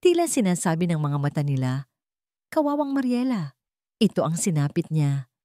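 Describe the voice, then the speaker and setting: A woman's voice: low voice, big pitch swings, a little fast, dark tone, dry recording, studio quality. A woman reading a scripted dramatic story as a storyteller.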